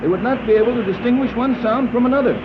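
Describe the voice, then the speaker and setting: A shrewd American sea captain in a 1930s radio serial. His voice sits high, and he talks bluntly in plain, folksy terms. The recording is dull and clearly noisy. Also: very fast. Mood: shrewd reasoning.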